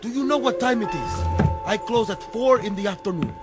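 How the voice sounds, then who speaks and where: An actor playing a fussy, grumbling, excitable village telegraph clerk in a radio drama. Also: male voice, high-pitched, fairly quick, loud music behind the voice. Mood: irritated.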